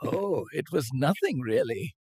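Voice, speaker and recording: Woman voicing a slow, low elderly grandfather. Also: lively intonation, a little mellow, slight background noise. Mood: tender. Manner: slow, tender, kindly. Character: gentle loving elderly village grandfather. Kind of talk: cartoon story acting.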